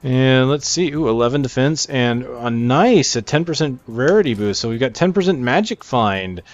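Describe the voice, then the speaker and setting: A man's voice: mid-range voice, animated, moderate pace, lo-fi sound. Man casually commentating a video game, music behind. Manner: thinks aloud while playing. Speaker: gameplay video host.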